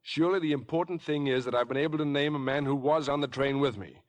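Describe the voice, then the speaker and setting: A man's voice: mid-range voice, quick pace, natural voice. An actor playing a tense, earnest young man under suspicion in a 1950s radio drama, clipped and uneasy. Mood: tense, insistent.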